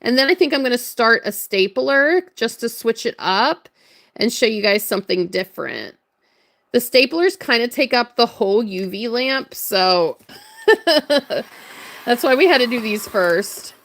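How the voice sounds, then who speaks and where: A woman with a hoarse, worn voice, chatting casually and greeting viewers while crafting on a livestream. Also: a little high, very animated, a little slow, fairly bright tone. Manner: chatty, upbeat, laughs often. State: hoarse, voice strained from hours of talking. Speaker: livestream crafter and shop owner.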